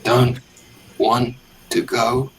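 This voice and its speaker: A man playing a dead adventurer in tabletop roleplay, solemn and tender, speaking in halting fragments. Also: medium pitch, level tone, slightly rough, rough recording. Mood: tender, mournful. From Britain. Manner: halting, short clipped fragments. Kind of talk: improvised tabletop roleplay drama.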